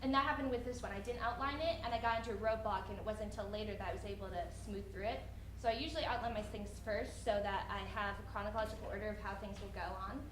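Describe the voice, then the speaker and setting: A young woman writer answering audience questions off the cuff, often halting and restarting her thoughts. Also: medium pitch, steady tone. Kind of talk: unscripted question-and-answer talk after a reading. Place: indoor room at a college event. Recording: roomy echo, faint background noise.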